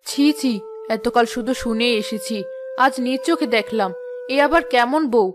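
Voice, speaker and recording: Woman playing a high-pitched village auntie. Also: normal speed, lightly acted. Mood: offended. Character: indignant older village neighbour auntie. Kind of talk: Bengali cartoon drama acting. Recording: music clearly behind the voice.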